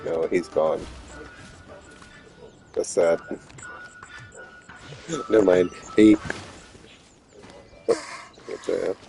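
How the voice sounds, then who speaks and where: A man's voice: low-pitched, drawn-out words, long pauses, mellow, soft background music. A man giving casual gameplay commentary as a streamer, muttering dry, sarcastic asides. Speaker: streamer playing a video game.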